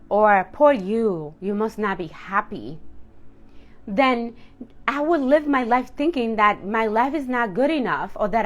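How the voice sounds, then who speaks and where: A woman's voice: medium pitch, a bit bright. Candid woman in her late thirties, motivational speaker. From Dominican household. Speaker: self-love coach speaking to viewers. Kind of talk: personal motivational talk to camera.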